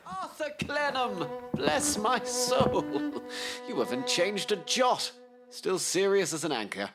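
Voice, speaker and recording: A man's voice: high-pitched, animated, takes it slowly, vocal fry, over loud music, heavily acted. An actor playing a cheerful middle-aged Victorian father, effusive and fatherly, in an audio drama. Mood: jovial.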